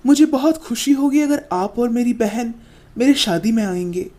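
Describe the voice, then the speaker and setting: A woman's voice: fairly high pitch, lively intonation, normal speed, dark tone, natural voice. A woman voicing a young village man, a caring, protective brother who speaks earnestly. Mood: formal. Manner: earnest, protective, raised voice when upset.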